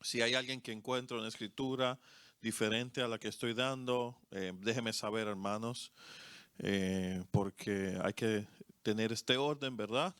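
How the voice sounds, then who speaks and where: A Dominican-accented man delivering a sermon at an unhurried, measured pace. His voice is smooth and resonant, caught close and dry with almost no room sound. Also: on the low side, fairly even intonation, fairly bright tone, no echo. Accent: Dominican Spanish. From Dominican Republic. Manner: slow, deliberate preaching cadence with pauses. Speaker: co-pastor. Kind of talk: sermon and congregational prayer. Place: church service.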